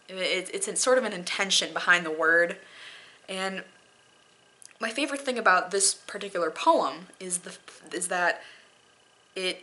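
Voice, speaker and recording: Bright-voiced woman, theatrical amateur reader. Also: lowish voice. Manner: self-deprecating, theatrical. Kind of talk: dramatic poetry reading with casual asides.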